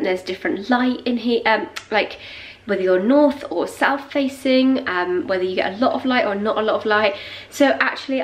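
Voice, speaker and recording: British woman vlogging with a head cold. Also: fairly high pitch. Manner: rambling, filler-heavy, lots of "like" and "um". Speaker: lifestyle vlogger. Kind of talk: casual chatty vlog to camera. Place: home kitchen, indoors. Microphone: handheld camera at arm's length.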